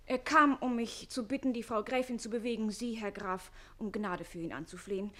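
An actress playing a spirited, sharp-tongued young lady's maid in a German radio play, pert and quick-witted. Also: a little high, fairly even intonation. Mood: pleading, earnest.

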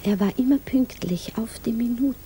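An actress playing a shy, anxious, yearning widow in a 1950s radio drama, speaking softly and hesitantly, often inwardly. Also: female voice, fairly high pitch, moderate pace, lightly acted. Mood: anxious, hushed. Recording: faintly noisy 1950s radio recording.

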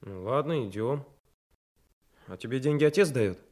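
An actor playing a hesitant, naive young provincial trainee detective in a Soviet radio drama. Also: male voice, mid-range voice, animated, normal speed, darkish tone, lightly acted. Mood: curious, shy. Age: young adult. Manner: plain, unsure, mild. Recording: 1980s radio drama, music behind.

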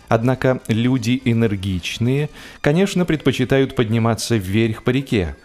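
A man narrating humorous literary prose in an expressive, measured storytelling manner with deliberate pauses. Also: medium pitch. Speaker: audiobook narrator. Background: music.

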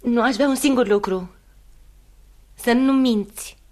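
An actress in a radio play playing a proud, wounded mistress in her thirties, speaking in an intimate, halting, wry way. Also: a little high, a little mellow, natural voice. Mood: probing, insistent. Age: 30s. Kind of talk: radio theatre acting.

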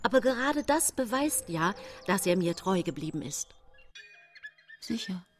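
An actress playing a seemingly pious, gentle yet secretly cold 19th-century housewife, confiding her story in a measured radio drama monologue. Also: mid-range voice, lively intonation, lightly acted. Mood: agitated insistence, then intimate, confiding. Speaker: actress narrating in a radio play. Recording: music bed behind studio voice.

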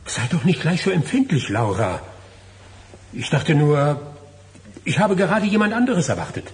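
An actor in a German radio play voicing a nervous, evasive lawyer and distracted husband, hesitant and often repeating himself. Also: male voice, fairly high pitch, sing-song, moderate pace. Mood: flustered.

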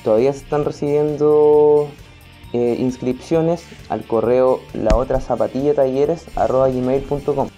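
A man's voice: medium pitch, fairly even intonation, a little slow, mellow, soft background music. A man with a Chilean accent talking casually as an interview guest, in an informal, colloquial and hesitant way. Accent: Chilean Spanish. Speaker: podcast and theatre artist interviewed as guest.

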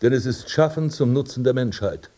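An actor playing a haughty, vain aristocratic prince in a radio play, speaking in a pompous, formal manner. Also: male voice, medium pitch, normal speed, mellow, lightly acted. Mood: conceited. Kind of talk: German radio play acting.

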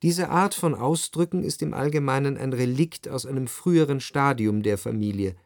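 Period radio play narrator male actor: analytical, measured, mid-pitched, in a very dry studio sound. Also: darkish tone, no room sound, lightly acted. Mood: reflective. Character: reflective, analytical literary narrator of Parisian society. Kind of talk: scripted literary narration.

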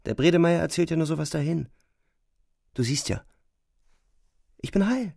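An actor playing a weary young soldier on leave in a radio drama, restrained and matter-of-fact in manner. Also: male voice, fairly high pitch, lively intonation, moderate pace, gravelly, a little mellow, dry recording. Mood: reassuring, guarded. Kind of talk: radio drama narration and dialogue.

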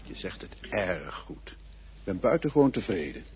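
An actor playing a calm, knowing male figure who leads someone toward death, in a radio drama. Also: deep voice, moderate pace, a little hiss or hum. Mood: soothing. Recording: dull lo-fi radio play recording.

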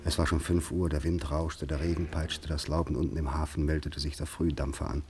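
A man narrating a literary radio play in measured, deliberate short phrases. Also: very deep voice, flat delivery, a little mellow, a little hiss or hum.

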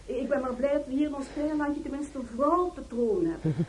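A woman voicing her snobbish, gossipy Dutch sister-in-law in a shrill, mocking imitation, in a radio play. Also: lively intonation, brisk, darkish tone.

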